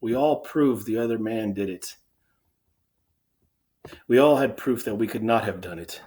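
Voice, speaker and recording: A man playing an anxious soldier, halting, wordy and self-excusing, in a dramatic reading of Greek tragedy. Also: fairly low pitch, warm tone, voice pushed up, close to an everyday voice. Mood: anxious protesting.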